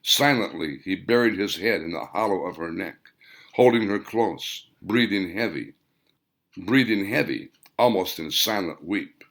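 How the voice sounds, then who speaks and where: Low, gravelly male storyteller with swooping delivery. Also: lively intonation, measured pace, fairly bright tone. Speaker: author reading own novel. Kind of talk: scripted audiobook narration.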